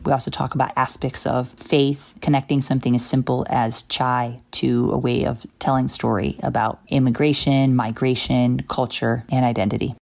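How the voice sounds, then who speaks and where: A woman hosting a podcast who listens attentively with soft backchannels and reads a scripted episode introduction. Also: very deep voice, little pitch movement, normal speed, gravelly, few pauses. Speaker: podcast host.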